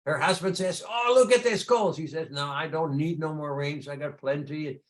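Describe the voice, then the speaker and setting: A man's voice: a little high, moderate pace. An older man with a Dutch accent telling personal stories in a conversational way as an interview guest. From Netherlands. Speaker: podcast guest, entrepreneur and charity founder.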